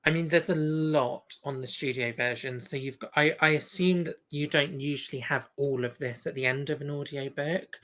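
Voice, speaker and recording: Female podcast co-host, notably low register, chatty fan talking informally about things she enjoys. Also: steady tone, normal speed, hardly any pauses, a bit bright. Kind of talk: casual review chat.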